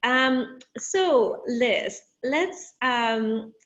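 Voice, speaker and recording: A woman moderating an online interview, talking hesitantly with many pauses and restarts. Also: fairly high pitch, lively intonation. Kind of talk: interview moderation on a video call. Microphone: video call. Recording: dull lo-fi video call audio.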